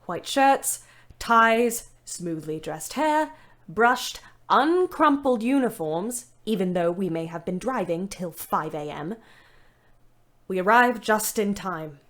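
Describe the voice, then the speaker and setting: An actress playing a weary, bitter young ambulance driver, narrating her wartime diary expressively in an audio play. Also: mid-range voice. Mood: dry, brisk. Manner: measured, literate, expressive. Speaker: narrator and lead character.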